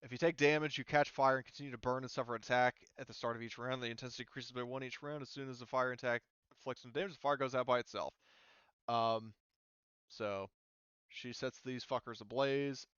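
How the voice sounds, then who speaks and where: A man's voice: mid-range voice, steady tone, a little fast, a bit bright, smooth, dry recording, highs cut off. A man narrating a tabletop game as game master, explaining rules casually and thinking aloud hesitantly.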